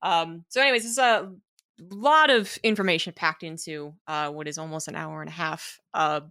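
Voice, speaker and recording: A woman hosting a casual interview chat. She agrees easily, laughs often, and answers with quick affirmations. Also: deep voice, sharp tone, no room sound, clean studio sound. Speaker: podcast host.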